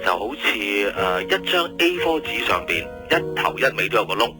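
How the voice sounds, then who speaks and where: A man acting an exuberant scientist from the future in a radio drama, with a Hong Kong Cantonese accent and an excitable way of explaining things simply. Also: on the low side, normal speed, music in the background. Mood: eager explaining. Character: exuberant male scientist calling from twenty years ahead.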